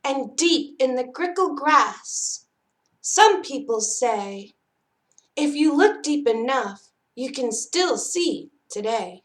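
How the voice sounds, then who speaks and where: A woman reading a children's picture book aloud in an expressive, measured storytelling manner. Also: high voice.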